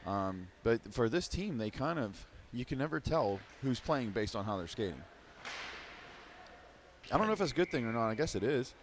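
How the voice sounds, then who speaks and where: A man's voice: mid-range voice, very animated, normal speed, a little hiss or hum. A man giving casual, rambling color commentary at a youth hockey game from the stands. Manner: rambling, restarts, laid-back analysis. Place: rink stands.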